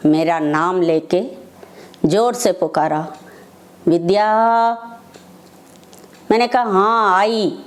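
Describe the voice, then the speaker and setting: An older woman shares personal stories of her faith. Her voice sits slightly low for a woman. She speaks very slowly, breaking her account into short phrases with pauses between them. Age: older, over 60. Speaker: devotee sharing personal testimony. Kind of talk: devotional personal storytelling.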